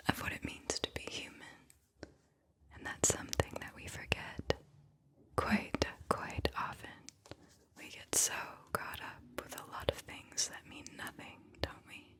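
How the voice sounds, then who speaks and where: Breathy whispering woman, slow ASMR. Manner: soothing, intimate, lingering on and repeating words. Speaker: ASMR host and poetry reader. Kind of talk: ASMR, soft-spoken whispered talk and poetry reading.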